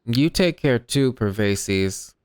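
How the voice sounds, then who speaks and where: Female streamer: very deep, smooth voice, unhurried casual commentary with a dry manner. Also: expressive, darkish tone, no room sound. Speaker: gaming streamer. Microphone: close dry microphone.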